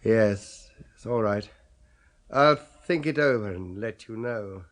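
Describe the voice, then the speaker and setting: Proud dying academic in a 70s radio horror play, really slow talker, dry and doubtful. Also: male voice, fairly low pitch. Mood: hesitant, uneasy. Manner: deliberate, dry, pompous. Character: proud, skeptical, dying male philosophy scholar weighing a grim offer. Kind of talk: 1970s British radio horror play acting.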